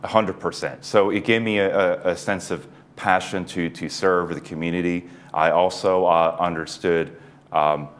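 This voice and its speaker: A man in his forties, a neurosurgeon guest on an interview show, explaining things in a measured way with light chuckles. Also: sits low, steady tone. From United States East Coast. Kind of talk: casual interview talk.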